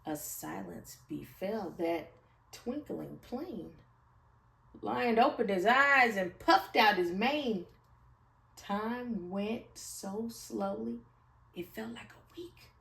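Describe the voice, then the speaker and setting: A woman's voice: medium pitch, lively intonation, takes it slowly, vocal fry, a bit bright. A woman storyteller reading a rhyming children's picture book aloud with expression. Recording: echoey room, far from the mic.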